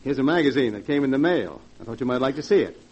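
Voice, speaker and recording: An actor playing a scheming, falsely solicitous nephew-in-law, placating, in 1940s radio style. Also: male voice, mid-range voice, expressive, fast, darkish tone, slight background noise, lightly acted. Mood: falsely sympathetic. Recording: muffled vintage 1940s radio recording.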